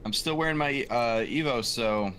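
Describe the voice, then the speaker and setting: A man's voice: medium pitch, moderate pace, fairly bright tone, a little hiss or hum. A man playing a tabletop roleplaying game, chatting casually and saying what his character does.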